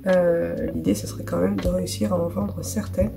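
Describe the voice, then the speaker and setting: A woman in her thirties from France, hoarse with a cold, talking softly and casually in her art studio vlog, with many fillers. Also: fairly low pitch, level tone, normal speed. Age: 30s. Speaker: illustrator. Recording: music playing behind.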